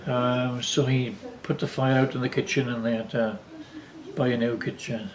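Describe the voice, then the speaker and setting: A man's voice: mid-range voice, level tone, a crowd in the background. Older man reminiscing in an echoey room. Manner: rambling, halting with repeated false starts. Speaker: father telling family stories. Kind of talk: casual reminiscence to family.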